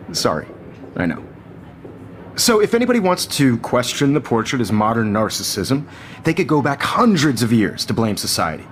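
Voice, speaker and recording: Game role: self-assured teacher male actor, animated intonation, glib classroom lecturing, slight background noise. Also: medium pitch, normal speed, pauses often, lightly acted. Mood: provocative, assured.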